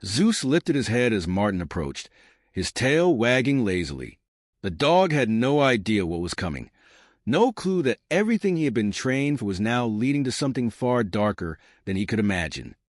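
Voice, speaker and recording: A man's voice: medium pitch, very animated, moderate pace, no room sound, studio quality. A man reading scripted suspense fiction as an audiobook narrator.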